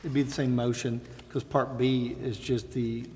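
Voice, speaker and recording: A man's voice: mid-range voice, flat delivery, normal speed, darkish tone. An American man speaking informally as the mayor chairing a public council meeting. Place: large indoor council chamber. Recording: echoey council chamber with clear noise.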